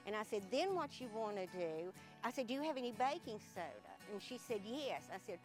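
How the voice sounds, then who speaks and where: A woman's voice: medium pitch, very animated, a little fast, over music, poor audio. Chatty Southern woman telling a story. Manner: chatty, laughs often, acts out quoted dialogue. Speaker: local interviewee. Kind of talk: casual storytelling chat.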